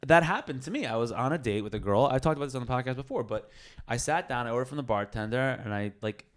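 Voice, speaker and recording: A man's voice: medium pitch, quick pace. A young man hosting a podcast, telling stories in casual chat and laughing often. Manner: animated storyteller, laughs a lot. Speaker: podcast host.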